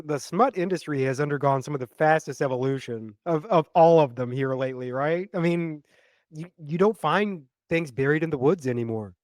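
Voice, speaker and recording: A man with a somewhat high voice who teases his co-host in a dry, wry way and stumbles over his sentence starts. The sound is dry and close to the mic. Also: dry recording. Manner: wry, teasing, with hesitant restarts. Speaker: podcast co-host. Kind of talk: casual comedic podcast chat.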